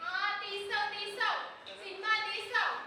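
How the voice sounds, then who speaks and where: A woman's voice: extremely high pitch, fairly even intonation, moderate pace, bright, forceful tone, a little hiss or hum, heavily acted. A woman playing a nagging village mother who keeps scolding her son, in a stage play. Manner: scolding, insistent. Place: theatre stage. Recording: echoey hall, far from mic.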